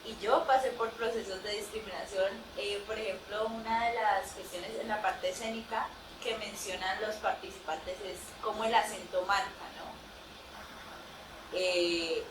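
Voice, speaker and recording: A woman's voice: mid-range voice, fairly even intonation, measured pace. A young Colombian woman with a Cauca accent, answering as a student at her thesis defense, hesitant with many fillers and candid. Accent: Colombian Spanish from Cauca. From Puerto Tejada, Cauca, Colombia. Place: large echoey hall. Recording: echoey room, distant, noisy.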